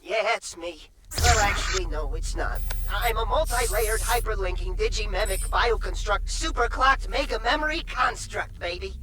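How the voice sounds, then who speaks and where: A man playing a jittery, paranoid tech nerd turned digital brain, babbling excitably in a video game. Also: high-pitched, normal speed, noisy background, lightly acted. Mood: manic, defensive. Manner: nervous, excitable, babbling technobabble. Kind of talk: animated video game acting.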